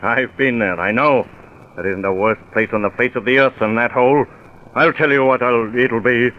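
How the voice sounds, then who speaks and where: A man's voice: mid-range voice, fairly even intonation, moderate pace, lightly acted. A man playing a bitter, weary old convict, scornful and emphatic, in an old-time radio crime drama. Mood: bitter gloom. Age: older. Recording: tinny, noisy vintage radio recording.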